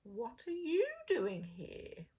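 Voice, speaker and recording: A woman's voice: medium pitch, very animated, takes it slowly, mellow, slightly rough, lo-fi sound, heavily acted. A woman voicing a sly, hungry big bad wolf in a children's storybook, growly and falsely sweet. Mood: falsely friendly. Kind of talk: children's storybook read-aloud.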